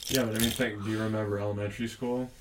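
A man's voice: lowish voice, level tone, very fast, recorded from a distance, very noisy. A young man in casual conversation, rambling and arguing his points with plenty of profanity. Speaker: podcast host. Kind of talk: casual chat.

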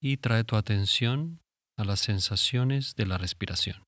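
A man leading a guided relaxation meditation in a calm, soothing, instructive way with long pauses. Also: on the low side, dry recording, clean studio sound. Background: music.

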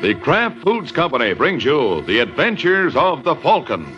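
Male vintage radio pitchman, somewhat high and very animated, polished sell, band-limited recording. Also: normal speed, dull audio. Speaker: radio announcer and sponsor pitchman. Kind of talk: 1950s radio announcing, polished salesmanship. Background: organ music.

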